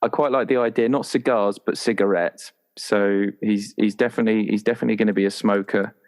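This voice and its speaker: A man with a fairly low voice talks casually as a tabletop player thinking aloud. His manner is playful, and he drops into bits of imagined dialogue as he goes. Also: steady tone, fairly quick. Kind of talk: casual chat, inventing a character.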